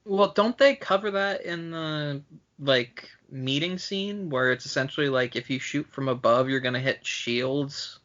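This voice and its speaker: A man's voice: a little high, measured pace, a bit bright, lo-fi sound. A man co-hosting a podcast in casual film chat among friends, rambling conversationally and swearing freely. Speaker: podcast co-host.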